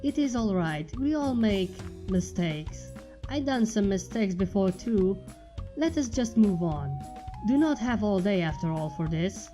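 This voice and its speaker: Brief: a reader playing a terse young mare from a storybook, clipped broken phrasing, mellow tone. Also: mid-range voice, expressive, faint music behind, natural voice. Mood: calm. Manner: halting broken speech, terse and demanding. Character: blunt young female pony outsider.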